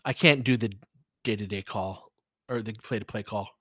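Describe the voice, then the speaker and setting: A man's voice: lowish voice, moderate pace, bright, forceful tone, dry recording, clean studio sound. A man giving informal live-stream play-by-play and commentary, thinking aloud as he chats with listeners.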